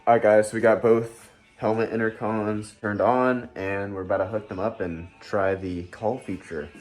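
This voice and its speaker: A man's voice: lowish voice, fairly even intonation, normal speed, darkish tone. A man giving a casual product review to his viewers in informal, slangy language. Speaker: product reviewer and video host.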